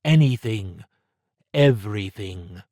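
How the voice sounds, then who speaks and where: A man's voice: lowish voice, expressive, takes it slowly, dark tone, dry recording, clean studio sound. A man voicing a guarded woman agent, blunt and clipped, with an edge to her words. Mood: pressing.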